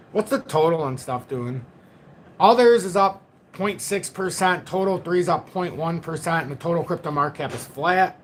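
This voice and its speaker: A man in his forties chatting through market charts on a live stream, fairly high for a man. He loops back on his phrases and answers his chat as he goes. A faint hum of noise sits under his voice. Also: a bit bright. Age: 40s. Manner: talks to chat, reads viewer comments, repeats himself. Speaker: crypto livestream host. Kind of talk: casual chart analysis livestream.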